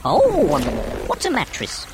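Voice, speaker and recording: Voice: high-pitched, huge pitch swings, a little slow, exaggerated voice. An actor voicing a simple-minded, eager swimming mattress in a radio play, with a bubbly, chirpy voice. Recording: hissy radio play recording.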